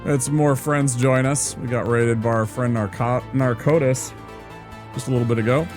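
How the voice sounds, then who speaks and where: A man talks easily to his live chat while he plays, relaxed and conversational and thinking out loud. His voice sits at an ordinary middle pitch, and faint music plays underneath. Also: moderate pace. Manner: relaxed, chatty, muses aloud to chat. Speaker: game streamer. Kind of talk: casual live-stream chat while playing.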